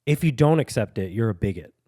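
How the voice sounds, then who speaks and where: A young man co-hosting a podcast, joking and rambling in casual chat with quick laughs. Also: mid-range voice, animated, mellow, no echo, clean studio sound. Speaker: podcast co-host. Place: home studio with dogs.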